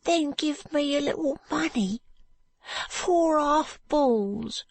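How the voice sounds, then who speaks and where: A woman voicing a frightened, pitiful ragged street boy with a high, cracked, tearful voice and slurred street speech. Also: animated, slow, no room sound, exaggerated voice. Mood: pleading.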